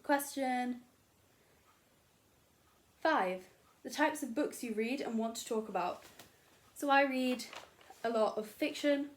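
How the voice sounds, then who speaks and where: Teen girl rambling about books in her bedroom, slightly high voice, lots of ums. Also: slow, long pauses. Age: young adult. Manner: chatty, self-deprecating, rambling with many fillers. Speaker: booktuber. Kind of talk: casual chat to camera. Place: bedroom at home.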